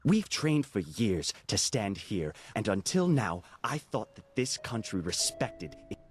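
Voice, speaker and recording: A man's voice: lowish voice, big pitch swings, a little slow. A man acting a haughty, bitter young novice of a holy order, scornful and pompous, in a fantasy video game.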